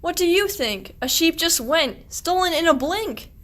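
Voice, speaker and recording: A woman's voice: extremely high pitch, animated, measured pace, a bit bright, heavily acted. A woman playing a suspicious, persistent shepherd, delivering pointed, wry archaic verse in a radio play, with light background noise. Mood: accusing. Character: suspicious, persistent shepherd hunting a stolen sheep.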